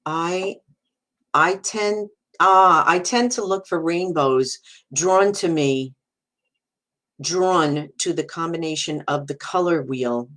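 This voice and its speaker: A middle-aged woman with a Brooklyn accent, a psychic medium hosting a casual live video and greeting viewers. She talks in a warm, reassuring way, restarting phrases and leaning on "you know.". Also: low voice, slow pace. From Brooklyn, New York. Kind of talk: casual live-stream talk, reading viewer comments.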